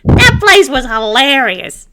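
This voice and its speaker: Woman playing a cheeky, animated male cartoon spirit. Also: sits high, slow pace, voice pushed up, full character voice. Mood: amused, excited. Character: giggly, cheeky male spirit, wry and eager. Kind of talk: amateur roleplay read-aloud of a cartoon character.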